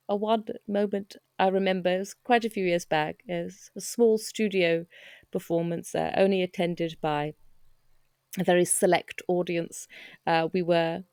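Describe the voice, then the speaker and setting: A woman playing a pompous, self-satisfied stage actress, speaking in a measured, self-amused way in a mockumentary interview. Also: lowish voice, a little mellow, no echo, studio quality.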